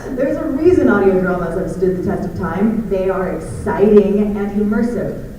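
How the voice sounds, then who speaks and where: A woman's voice: medium pitch, expressive, moderate pace, mellow, natural voice. A woman playing a reasonable, persistent author, earnest and conversational in a live audio drama. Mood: insistent. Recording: echoey hall, distant mic, clear noise.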